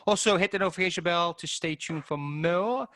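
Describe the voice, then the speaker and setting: A Welsh-accented man co-hosts an informal film review. His voice is bright and sharp-edged, recorded dry with hardly any room sound. He chats casually and feels his way a little hesitantly through his points. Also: high voice, a little fast, dry recording. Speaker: film review show co-host.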